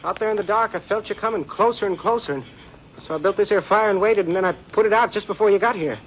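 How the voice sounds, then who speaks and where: An actor playing a nervous, treacherous small outlaw in a radio western, with folksy, hesitant rural speech on an old recording. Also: male voice, high voice, quick pace, lo-fi sound, lightly acted. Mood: menacing, agitated. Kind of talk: 1950s radio western acting. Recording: noisy vintage radio recording.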